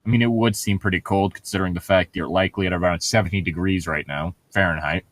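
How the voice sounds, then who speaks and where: Low-voiced sarcastic man in a dry recording. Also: steady tone, fast, fairly bright tone, no room sound. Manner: sarcastic, swears freely. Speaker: co-host of a comedic read-along. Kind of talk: casual reading aloud with mocking commentary.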